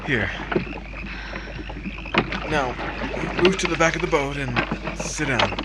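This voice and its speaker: Male, acting weary married man for 1950s-style radio drama; slow, hesitant, mid-pitched, noisy ambience behind. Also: animated. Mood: uneasy, compliant. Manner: halting, hesitant delivery in short phrases.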